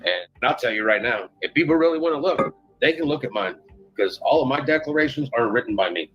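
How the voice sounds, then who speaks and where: A man's voice: mid-range voice, expressive, fast talker, husky, lo-fi sound. A man being interviewed, talking informally and candidly in a blunt, rambling, defensive manner. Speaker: interviewee, a parent.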